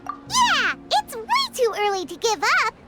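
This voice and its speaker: A woman voicing a tiny, spirited childlike companion in a game dub, squeaky and exclamatory. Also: very animated, unhurried, heavily acted. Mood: eager, feisty. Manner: exclamatory, refers to self in third person. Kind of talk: English game dub acting. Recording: loud music behind the voice.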